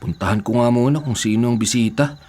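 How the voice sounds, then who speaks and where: Man playing a polite, quietly exasperated young nephew. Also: mid-range voice, fast talker, close to an everyday voice. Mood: puzzled. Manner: deferential aloud, sardonic in asides. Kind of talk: Filipino radio drama acting.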